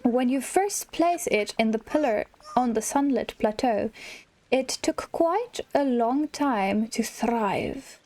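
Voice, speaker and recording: A woman reading aloud the lines of a helpful old male wizard from a video game, in a measured, deliberate way. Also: a little high, lively intonation, darkish tone. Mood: explaining.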